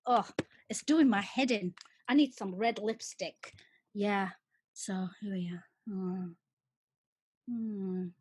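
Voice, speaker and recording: A woman's voice: medium pitch, dry recording. A woman playing a coarse, furious female alter who swears and rants in a solo stage monologue. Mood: low menacing. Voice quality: swearing, brash. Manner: ranting, mocking.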